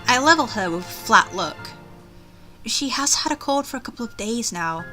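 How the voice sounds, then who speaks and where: A woman's voice: fairly high pitch, lively intonation, normal speed, sharp tone. A woman gamer reading visual novel narration aloud, with casual commentary. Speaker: gaming video creator. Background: faint music.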